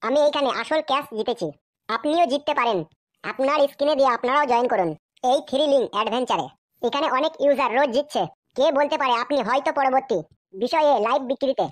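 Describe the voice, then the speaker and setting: A woman's voice: high-pitched, quick pace, dry recording. A woman giving a scripted promotional read as an advertiser.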